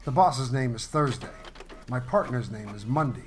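Very low-voiced deadpan radio detective played by a woman. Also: normal speed, slight background noise. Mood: deadpan narrating. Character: deadpan hard-boiled cop-show parody office worker Joe Friday. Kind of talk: 1940s radio detective parody narration.

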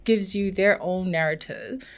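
Earnest woman, low voice. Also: unhurried. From Samoa. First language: Samoan. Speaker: guest, humanitarian worker. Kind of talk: studio podcast conversation. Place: studio.

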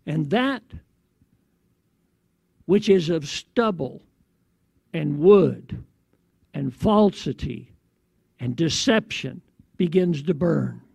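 A man preaching in a declamatory way, recounting a prophetic vision in short phrases with deliberate pauses. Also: fairly high pitch, vocal fry, a little mellow, breathy edge, no room sound. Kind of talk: prophetic sermon narration.

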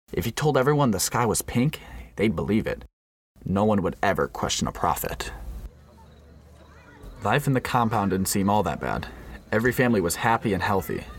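A man playing an uneasy undercover federal agent in a scripted radio play, with a measured, solemn delivery. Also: low-pitched, slightly rough. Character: undercover male FBI agent narrating a compound infiltration, uneasy. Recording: faint background noise.

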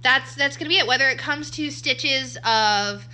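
A woman's voice: high-pitched, normal speed, pushed and bright. A woman in her early twenties co-hosting a casual podcast, rambling and correcting herself as she chats. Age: 20s. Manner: rambling, self-correcting, laughs often. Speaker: podcast co-host. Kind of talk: casual chat. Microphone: too close to the mic.

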